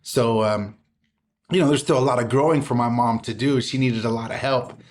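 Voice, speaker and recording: A man's voice: medium pitch, steady tone, normal speed. A middle-aged man from Southern California, a poet reciting his work with a rhythmic spoken-word cadence, then talking casually in an interview. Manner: rhythmic spoken-word delivery, mixing in Spanish words. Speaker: poet and guest.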